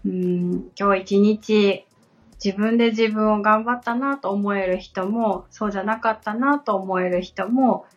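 A woman speaks as a podcast co-host in polite, simply worded conversation. Her pitch sits in the middle for a woman. The audio is a little dull, with music playing behind her. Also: unhurried. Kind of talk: conversational podcast talk, answering questions. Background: background music.

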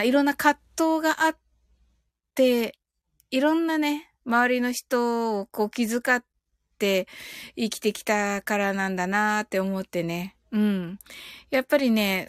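A woman's voice: mid-range voice, no echo, clean studio sound. A woman hosting a livestream, talking to listeners and leading mindfulness. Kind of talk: casual livestream chat.

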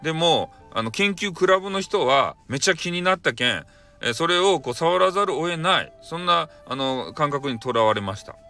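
A man's voice: a little high, animated, moderate pace, pushed and bright, soft background music. A man narrating a bedtime folktale in Hakata dialect, rambling with fillers and playful asides. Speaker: storyteller.

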